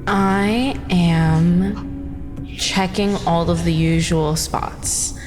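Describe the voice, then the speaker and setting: A woman's voice: on the low side, fairly even intonation, extremely slow, over music. A woman improvising casually in character as a player in a tabletop roleplaying game.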